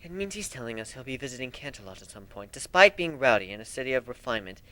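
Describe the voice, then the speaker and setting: A man voicing a composed, kindly pony princess in a measured, formal storybook reading. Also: medium pitch, very animated, bright, forceful tone, pitched below the performer's own voice. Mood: calm, explanatory.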